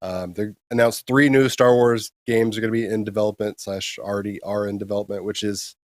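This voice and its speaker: A man's voice: lowish voice, steady tone, fairly quick, no room sound, studio quality. A man co-hosting a casual news show, explaining things informally and giving his opinions. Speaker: co-host of a gaming news show.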